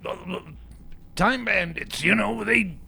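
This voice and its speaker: A man playing a jittery, rambling eccentric sidekick in a radio comedy skit, stammering and repeating words in a creaky voice. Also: sits high, slow pace, sharp tone, exaggerated voice. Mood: alarmed, insistent. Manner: stammering, agitated, repetitive. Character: jittery, rambling eccentric male time-machine tinkerer sidekick.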